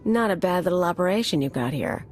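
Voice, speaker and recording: A woman's voice: lowish voice, expressive, quick pace, a little mellow, faint music behind, close to an everyday voice. A woman playing a brash, sardonic mercenary in a video game cutscene, slangy and casual about violence. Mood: breezy.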